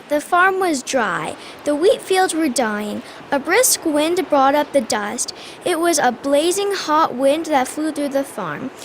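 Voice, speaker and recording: A woman's voice: high voice, a little hiss or hum. A young female narrator telling a scripted story in a school radio drama. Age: child or young performer.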